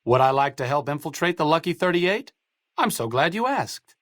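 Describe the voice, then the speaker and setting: A wry nerdy idealist doctor from a post-apocalyptic game, articulate, very expressive pitch, dry studio sound. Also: male voice, moderate pace, no echo. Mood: dry sarcasm. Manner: sardonic, articulate, dryly witty. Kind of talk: video game companion dialogue.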